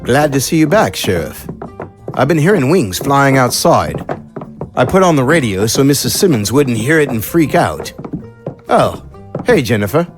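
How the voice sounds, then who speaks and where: A man's voice: mid-range voice, sing-song, normal speed, music in the background, close to an everyday voice. A man playing a sweet, anxious small-town deputy in an audio drama. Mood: relieved, uneasy.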